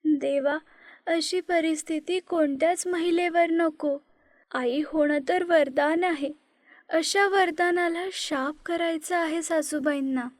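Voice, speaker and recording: A woman voicing a frightened young pregnant wife in an animated story, with a tearful, pleading way of talking. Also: very high voice, slow, dry recording, studio quality.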